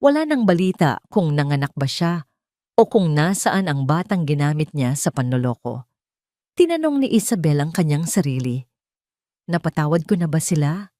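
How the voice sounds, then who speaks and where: A woman's voice: low-pitched, big pitch swings, normal speed, dark tone, dry recording, studio quality. A woman reading a scripted dramatic story as its narrator.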